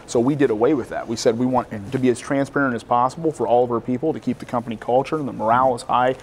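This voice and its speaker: A man's voice: medium pitch, steady tone, very fast, slight background noise. A man in his thirties explaining his business informally in a conversational interview. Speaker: roofing company co-owner being interviewed. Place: large hotel-style lounge, indoors. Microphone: lapel mic.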